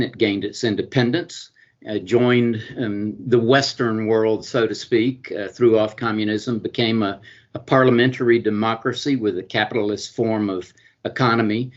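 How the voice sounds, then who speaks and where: A middle-aged man with a Georgia Southern accent, answering interview questions as a consul and attorney, with many fillers and restarts. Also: fairly low pitch. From US state of Georgia. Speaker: consul and business attorney, guest. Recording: dull lo-fi sound.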